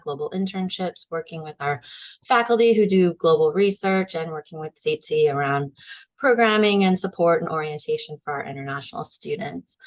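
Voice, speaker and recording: Low-voiced woman, program director. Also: dry recording, muffled recording. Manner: explanatory, lists her duties.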